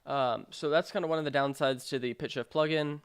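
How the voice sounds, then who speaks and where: Clear-voiced man giving a dry tutorial. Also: a little high, fairly even intonation, a little fast, no echo. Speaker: sound design tutorial presenter. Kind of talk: software tutorial narration.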